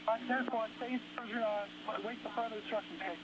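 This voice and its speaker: A man's voice: very high voice, fairly even intonation, quick pace. A man working as a fire department dispatcher, speaking in clipped procedural phrases over a two-way radio. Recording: very noisy emergency radio transmission.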